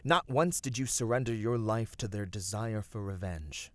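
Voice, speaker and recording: A man playing a gentle, devoted male battle dancer of a fantasy race in an ASMR roleplay, speaking earnestly and soothingly with dramatic pauses. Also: fairly low pitch, dry recording, close to an everyday voice. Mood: earnest, solemn. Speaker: voice actor.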